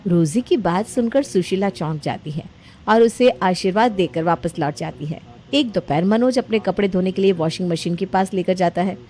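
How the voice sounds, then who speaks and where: A woman's voice: fairly low pitch, moderate pace, mellow, slight background noise. A woman storyteller narrating a scripted domestic drama in a dramatic storytelling style.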